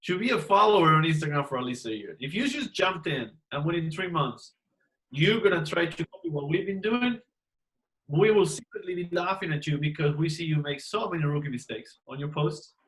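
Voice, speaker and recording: A man speaking a little higher than most men, giving advice on a panel as a dentist and teacher. He talks emphatically, leans on rhetorical questions and often restarts with repeated words. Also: expressive, a little fast, a bit bright, rough recording.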